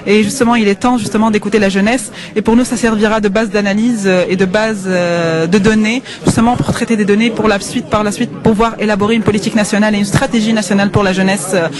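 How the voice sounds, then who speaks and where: A woman's voice: medium pitch, steady tone, fast talker, crowd noise. A woman answering a radio interview as an official guest, formal and hesitant with many fillers.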